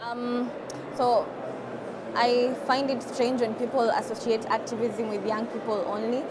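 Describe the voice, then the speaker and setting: A woman's voice: fairly high pitch, little pitch movement, unhurried. A woman in her twenties with a Kenyan accent, giving earnest, explanatory answers as an interview guest. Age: 20s. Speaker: climate activist and podcaster guest. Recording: clear vehicle and ambient noise behind.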